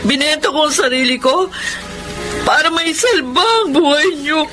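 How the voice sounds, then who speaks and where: An actress playing a devoted but defiant grown daughter, anguished and emotional, in a Filipino radio drama. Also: female voice, high voice, normal speed, over music, lightly acted. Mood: anguished, resolute. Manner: emotional, pleading.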